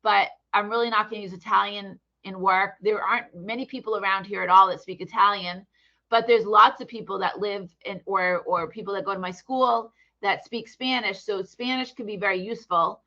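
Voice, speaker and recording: American woman teacher, easygoing and halting, bright voice, muffled lo-fi call sound. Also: mid-range voice. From United States. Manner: relaxed, hesitant with many fillers. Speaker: English teacher. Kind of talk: casual online conversation.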